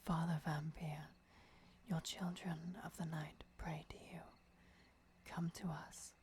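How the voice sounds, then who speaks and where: A woman playing a devoted, gentle vampire mentor and lover in a breathy, whispered ASMR roleplay, instructing in a soothing way. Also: deep voice, little pitch movement, slow, slight background noise. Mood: reverent.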